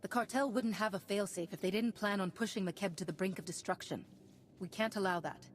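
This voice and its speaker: An actress playing a composed, resolute Jedi master, formal and confident in a measured way. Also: female voice, mid-range voice, slight background noise, lightly acted. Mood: composed, inquiring.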